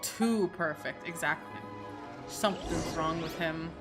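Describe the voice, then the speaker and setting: A woman's voice: deep voice, unhurried. A woman doing casual livestream game commentary, chatty, reactive and slangy. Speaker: game streamer. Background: game music.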